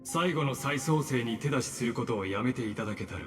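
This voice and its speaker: A man voicing a calm, polite and calculating male villain in a game dub. He speaks in a formal, measured way. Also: mid-range voice, close to an everyday voice. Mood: courteous, cold. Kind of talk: Japanese game dub acting. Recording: game audio with reverb and faint music.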